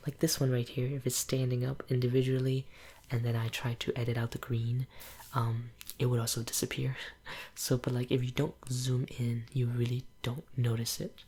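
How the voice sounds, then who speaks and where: A young woman whose first language is Cantonese, chatting in a soft ASMR whisper at home, rambling with lots of fillers. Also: rumbling low, level tone, moderate pace, slightly rough. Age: young adult. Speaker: ASMR channel creator. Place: home room with green screen.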